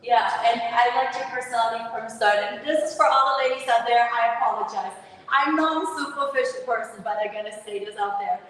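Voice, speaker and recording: A woman hosting an interview, chatting and telling stories in an animated way. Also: fairly high pitch, a little fast. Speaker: podcast host, interviewer. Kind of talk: casual interview conversation. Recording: roomy hall, distant mic, faint noise.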